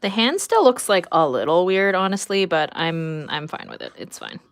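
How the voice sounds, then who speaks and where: A woman's voice: on the low side, big pitch swings, moderate pace. A woman in her thirties chatting casually and informally while livestreaming her drawing, with a giggly manner. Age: 30s. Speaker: livestreaming artist reading and answering viewer chat.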